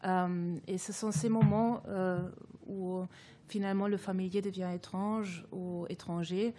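A woman's voice: medium pitch, fairly even intonation, unhurried, mellow. A woman whose first language is German, a guest author speaking reflectively in an academic discussion, thoughtful and hesitant with many fillers and restarts.

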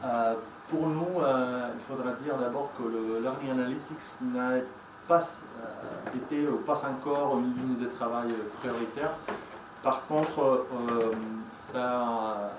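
A Spanish-accented man speaks at a conference lectern, pausing often on fillers and restarting his phrases. His voice is mid-pitched and sounds distant in an echoey hall. Steady room noise sits underneath. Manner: hesitant, many fillers and restarts. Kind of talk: conference presentation. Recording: echoey room, mic from far off.

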